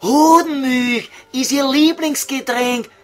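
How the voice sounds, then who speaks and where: A man's voice: very high voice, fairly bright tone, faint music behind, heavily acted. A man voicing a crude, scheming devil who taunts and coaxes in Viennese dialect in a comic radio play. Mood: sly coaxing. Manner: slow, sly, vulgar coaxing.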